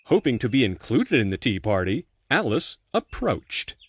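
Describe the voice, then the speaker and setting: A man's voice: mid-range voice, sing-song, moderate pace, slightly rough, no echo. A man narrating a scripted children's storybook tale as its storyteller. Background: faint music.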